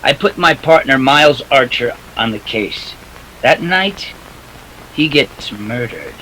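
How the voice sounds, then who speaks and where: An actor playing a hard-boiled, wry private detective in a 1940s-style radio play, with clipped, slangy, sardonic delivery. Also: male voice, mid-range voice, animated, slow, bright, forceful tone, a little hiss or hum, poor audio. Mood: matter-of-fact, wry. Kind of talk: 1940s radio noir acting.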